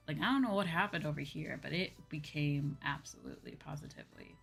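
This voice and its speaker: A woman's voice: low voice, brisk, creaky, soft background music. A young woman streamer chatting casually with viewers in a hesitant, rambling way, often repeating her phrases. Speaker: VTuber streamer. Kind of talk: casual livestream chat with viewers.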